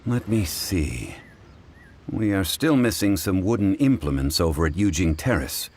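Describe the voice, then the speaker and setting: An actor playing a courteous, refined gentleman in an anime game, formal, composed and measured. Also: sits low, very animated, exaggerated voice.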